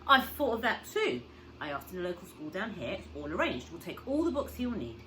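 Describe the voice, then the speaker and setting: An excitable storybook dad played by a woman reading aloud, bursting with plans. The voice is breathless, persuasive and emphatic, leaping up and down in pitch. The recording has clear background noise. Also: fairly quick, poor audio. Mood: persuasive, excited. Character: excitable, persuasive father full of big plans.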